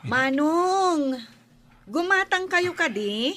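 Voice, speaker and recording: A woman's voice: very high voice, expressive, extremely slow, a bit bright, heavily acted. A woman with an Ilocano accent playing a polite young village store girl in an audio drama. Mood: polite, unhurried. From Philippines.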